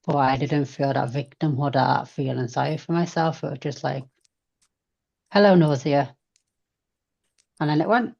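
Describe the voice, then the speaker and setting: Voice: medium pitch, steady tone, normal speed, vocal fry, pauses often, a little mellow. A person chatting casually and candidly about their own feelings, in a reflective, conversational way. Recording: dull, lo-fi sound.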